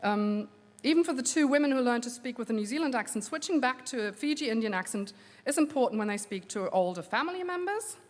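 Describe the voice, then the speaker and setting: A woman researcher giving an academic conference lecture, measured and steady, with frequent um fillers. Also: fairly high pitch. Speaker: linguistics researcher giving a plenary talk. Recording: long hall reverb.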